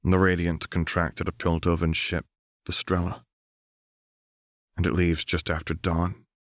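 Man voicing a gentle, resolute young wife. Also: low-pitched, monotone, a little slow, dry recording, clean studio sound. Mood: gentle, firm.

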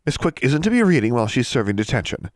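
A man's voice: mid-range voice, very animated, quick pace, no room sound, clean studio sound, pitched above the performer's own voice, lightly acted. A man voicing a prim, politely firm woman librarian in a storybook reading, giving instructions.

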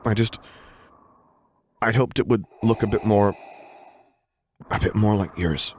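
A man's voice: fairly low pitch, moderate pace, slight background noise, lightly acted. A man voicing a shy, flustered young boy who is anxious to please and speaks hesitantly, with stammers. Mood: sheepish.

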